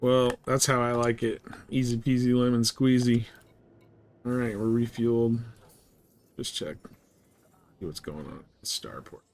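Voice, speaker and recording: A man streaming a game live, chatting casually and thinking out loud in a rambling way. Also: mid-range voice, unhurried, long pauses, a little hiss or hum. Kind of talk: casual gameplay chat.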